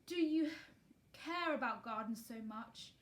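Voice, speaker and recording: A troubled, weary gentleman, played by a woman reader from a storybook. He speaks formally and emphatically, halting at times, with the air of a wretched guardian. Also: on the high side, animated. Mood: softened, tired.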